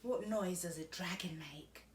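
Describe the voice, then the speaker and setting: A woman's voice: fairly low pitch, a little slow, a little hiss or hum. A woman hosting a children's picture book read-aloud, speaking directly to young listeners.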